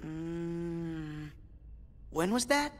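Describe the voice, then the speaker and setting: A man's voice: a little high, sing-song, very slow, a little hiss or hum, exaggerated voice. A young-sounding man playing an earnest, plucky teenage boy hero in a video game, with an earnest, questioning manner. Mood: confused. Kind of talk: video game cutscene acting. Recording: hall reverb, music behind.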